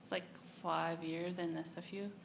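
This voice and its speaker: A young woman, an international student answering interview questions in a chatty way in halting English, laughing easily. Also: lowish voice, flat delivery, gravelly, slight background noise. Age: young adult.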